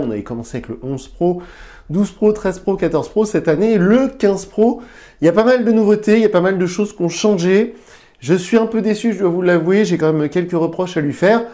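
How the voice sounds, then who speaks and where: A man's voice: fairly high pitch, brisk, dark tone. A man with a stuffy, nasal voice from a cold, hosting a casual tech review into a lapel mic, chatty, with fillers and restarts. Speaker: tech reviewer, channel host.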